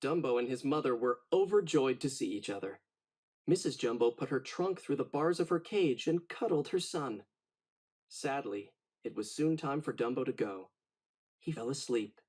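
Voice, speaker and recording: A man narrating a children's storybook in an expressive, dramatic read-aloud style. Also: medium pitch, moderate pace, slightly rough.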